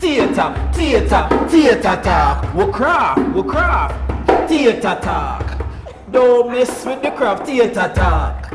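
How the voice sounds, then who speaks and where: A woman's voice: mid-range voice, big pitch swings, takes it slowly. A woman with a Jamaican accent, a cast member rhythmically chanting short phrases over a beat. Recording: echoey room, loud music behind.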